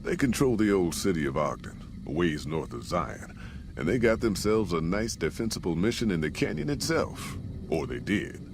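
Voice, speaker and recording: An American actor playing a gruff, folksy caravan boss in a post-apocalyptic video game, with plain-spoken frontier drawl. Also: male voice, low voice, big pitch swings, moderate pace, noisy background, full character voice. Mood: matter-of-fact. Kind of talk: scripted video game character dialogue.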